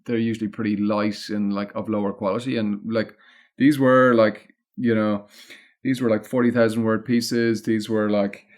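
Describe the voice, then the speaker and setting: A man's voice: lowish voice, flat delivery, moderate pace. An Irish man speaking as an interview guest, casually and discursively, thinking aloud as he goes. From Ireland. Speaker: podcast guest, content marketing consultant.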